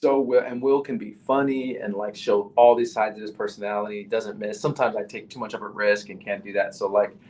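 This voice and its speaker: A man's voice: on the low side, fairly even intonation, brisk, hardly any pauses, a little mellow. A man with a nasal voice, a battle rapper talking casually as a podcast guest, full of "you know" and "like".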